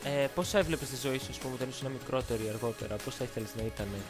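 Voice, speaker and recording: Very low-voiced interviewer, a woman, loud music. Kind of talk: casual interview questioning.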